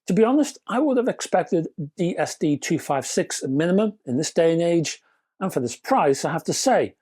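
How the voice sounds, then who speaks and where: A man's voice: on the high side, big pitch swings, normal speed, darkish tone, slightly rough. A British man narrating a scripted product review with chatty, wry asides and emphatic phrasing.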